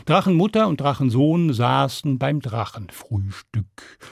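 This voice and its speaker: Guy telling kids a fairy tale, gravelly voice, takes his time, dramatic. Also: mid-range voice, big pitch swings, no echo, clean studio sound. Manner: dramatic, unhurried with deliberate pauses. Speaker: storyteller. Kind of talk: expressive fairy tale narration for children.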